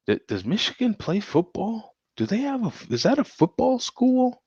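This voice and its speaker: A man's voice: sits high, big pitch swings, moderate pace, darkish tone, breathy edge, no echo, lo-fi sound. A man hosting a casual beer show, rambling, laughing and chatting with viewers, a bit tipsy. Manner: rambling, laughs often, talks to chat viewers. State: tipsy from drinking. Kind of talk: casual live-stream chat.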